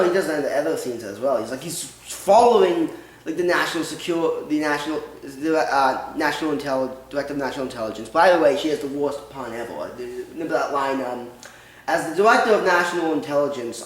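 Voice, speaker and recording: Male co-reviewer, earnest and halting, expressive intonation, roomy recording with faint noise. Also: fairly high pitch. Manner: hesitant, restarting, earnest. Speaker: film reviewer. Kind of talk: casual chat. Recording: echoey room, faint noise.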